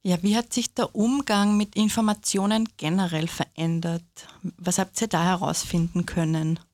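A woman's voice: on the low side, fairly even intonation, normal speed, a little mellow, no room sound, studio quality. A woman hosting a conversation and asking short, casual follow-up questions. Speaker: host.

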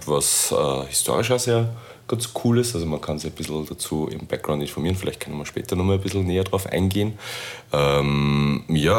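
Very deep-voiced Austrian man, halting talk. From born in Istanbul, grew up in Linz, Austria. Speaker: interview guest, artist. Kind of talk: casual conversational interview talk.

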